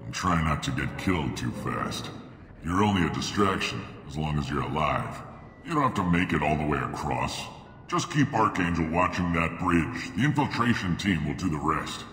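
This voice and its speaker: A man's voice: a bass voice, animated, moderate pace, a bit bright, slight background noise, exaggerated voice. An actor playing a curt, cynical male mercenary who plans attacks and fixes a gunship in a video game cutscene. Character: gruff male mercenary planner and mechanic. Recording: reverberant game mix.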